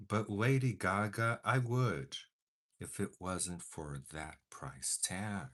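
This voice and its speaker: A man's voice: deep voice, slow pace, a bit bright. A young man whose first language is Portuguese chats casually while doing his makeup, with dramatic bursts of mock outrage. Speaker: beauty vlogger.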